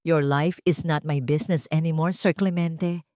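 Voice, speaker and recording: A woman's voice: sits low, steady tone, moderate pace, dark tone, no room sound, studio quality, lightly acted. A woman voicing a wounded, defiant young single mother in an emotional radio-style drama story. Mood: bitter, cold.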